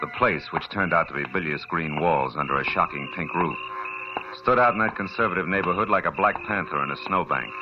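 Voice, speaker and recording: A deep hard-boiled private eye. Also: male voice, a little fast, background noise. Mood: wry. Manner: wisecracking, dry hard-boiled narration. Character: hard-boiled male private detective narrator. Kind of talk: 1940s radio acting. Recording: dull old radio recording with music.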